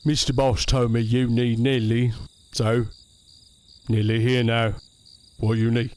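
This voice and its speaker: A man playing a huge, slow-witted, polite and childlike helper in an audio drama, speaking in halting broken sentences. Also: medium pitch, flat delivery, darkish tone, lightly acted. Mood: earnest. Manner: halting, simple broken speech.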